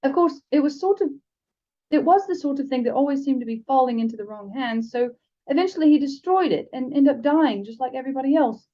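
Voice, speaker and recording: Woman playing an earnest, high-pitched young wizard boy. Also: a little fast, warm tone, lo-fi sound, lightly acted. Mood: thoughtful.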